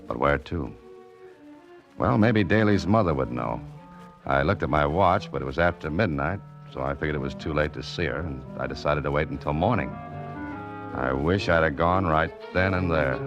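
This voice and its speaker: A very low-voiced, tough male sleuth in an old radio mystery. He is terse, deadpan and dogged, in hardboiled 1940s style. The recording is muffled and vintage, with music clearly underneath. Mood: brooding, intent. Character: tough, dogged male amateur sleuth, ex-newspaperman, narrating his case. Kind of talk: 1940s radio detective drama acting and hardboiled narration.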